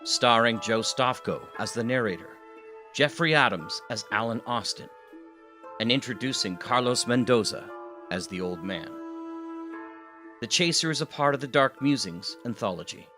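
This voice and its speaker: A man's voice: mid-range voice, animated, normal speed. A man reading scripted credits as an announcer. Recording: music playing behind voice.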